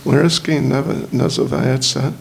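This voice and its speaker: A man with an English accent, a native English speaker, gives a lecture in slow, halting Russian, often searching for words. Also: medium pitch, fairly even intonation, gravelly. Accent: English-speaking, speaking Russian as a learner. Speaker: visiting spiritual teacher giving a lecture. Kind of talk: slow lecture with verse chanting. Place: lecture hall.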